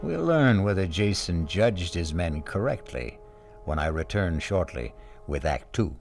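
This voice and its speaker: Deep gravelly male radio narrator. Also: very animated, darkish tone. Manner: grave, measured storytelling. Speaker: show host and narrator. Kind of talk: scripted radio drama narration. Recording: narration over music.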